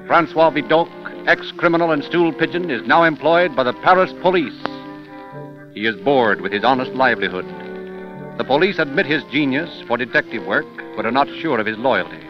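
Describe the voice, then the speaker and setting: A male narrator in a 1930s radio drama. He speaks in a measured, dramatic way at a medium pitch. Music plays behind him on a muffled old recording. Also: expressive.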